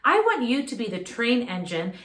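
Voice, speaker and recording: A woman music teacher leading a children's lesson, speaking in a clear, instructive way straight to young listeners. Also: a little high, very animated, normal speed, fairly bright tone. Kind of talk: children's music lesson and read-aloud narration.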